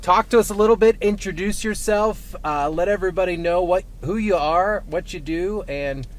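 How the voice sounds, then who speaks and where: A man's voice: high-pitched, moderate pace. A man from Miami hosting, a former cop, asking friendly leading questions in a casual interview. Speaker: traffic reporter and host. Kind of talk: casual interview in a patrol car. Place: inside a moving car.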